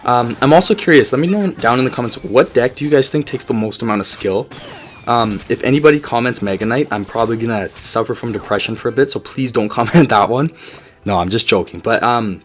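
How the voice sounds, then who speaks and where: Guy talking fast over a game, bit hoarse from a cold, chatty and joking, mid pitch. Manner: chatty, jokey asides, frequent fillers. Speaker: gaming video creator. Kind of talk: casual gameplay commentary with tips.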